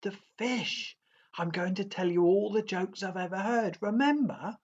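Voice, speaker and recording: Brief: man voicing nervous storybook Viking boy, urgent and pleading, lifted pitch, lo-fi. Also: a little slow. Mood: urging. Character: anxious skinny boy Viking, earnest.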